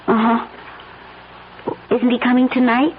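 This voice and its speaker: An actress playing a concerned, observant wife who asks questions in a radio drama. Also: female voice, fairly high pitch, flat delivery, a little slow, natural voice. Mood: curious. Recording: lo-fi phone-like radio broadcast, faint noise.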